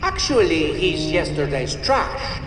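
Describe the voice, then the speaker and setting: Snooty french skunk villain for a game, slow gloating drawl, big reverb, music behind. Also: male voice, a little high, big pitch swings. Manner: pompous, mocking, drawn-out delivery. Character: smug, theatrical French skunk villain, pompous art thief. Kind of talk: animated video game cutscene acting.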